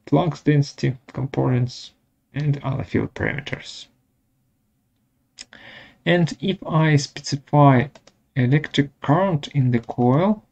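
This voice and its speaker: A man presenting a step-by-step software tutorial in short, measured, halting phrases. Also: on the high side, vocal fry. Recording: dull lo-fi audio.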